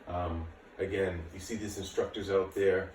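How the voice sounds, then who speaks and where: Male fitness instructor, late 30s, very low voice, informal and reassuring, recorded at a distance from the mic. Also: little pitch movement, moderate pace, darkish tone. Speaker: martial arts and fitness instructor. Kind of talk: casual workout instruction to camera. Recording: echoey room, distant mic, faint noise.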